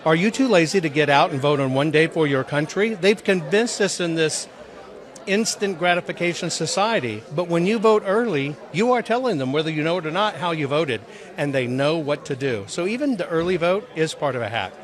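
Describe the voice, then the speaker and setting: Male interview guest, slightly high register, conversational delivery with earnest, emphatic explanations. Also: expressive, brisk. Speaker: interview guest, audit presenter.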